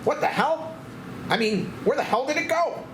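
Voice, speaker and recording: A man playing a brisk, easily astonished Coast Guard captain in a parody drama. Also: very high voice, animated. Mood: incredulous. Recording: echoey room with background noise.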